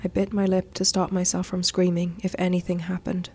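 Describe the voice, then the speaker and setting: A woman's voice: lowish voice, completely flat delivery, brisk, a little mellow, breathy edge. A woman streaming a video game, reading the story text expressively and adding teasing, coarse-mouthed asides. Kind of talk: reading visual novel text aloud with joking commentary. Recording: music under the voice. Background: game music.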